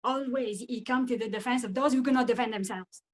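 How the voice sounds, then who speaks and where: Actress as high-voiced grieving Frenchwoman. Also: close to an everyday voice. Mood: sorrowful. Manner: halting, emotional. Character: grieving, embittered Frenchwoman in wartime. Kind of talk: theatrical monologue.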